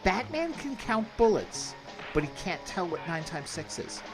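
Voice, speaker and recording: A man's voice: high voice, measured pace, music in the background. A middle-aged man hosting a podcast in a casual, rambling discussion style. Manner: animated, rambling. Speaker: podcast host.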